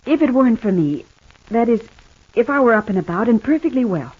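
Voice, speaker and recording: Tender radio-soap wife, dull old recording. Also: female voice, a little high, sing-song, fairly quick, breathy edge. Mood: earnest, pleading. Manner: tender, earnest, coaxing. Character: devoted, gentle wife recovering in hospital. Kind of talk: 1950s radio soap opera acting.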